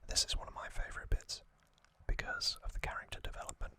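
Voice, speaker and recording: Whispering male ASMR host. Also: pushed and bright. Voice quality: breathy unvoiced whisper. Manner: unhurried, halting, short phrases.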